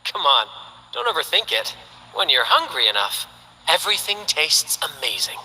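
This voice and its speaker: A man's voice: fairly high pitch, big pitch swings, normal speed, sharp tone, long reverb tail, slight background noise, full character voice, poor audio. A man voicing a flamboyant, carefree young performer who talks in a grand, theatrical way. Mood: showy. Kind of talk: game character voice lines.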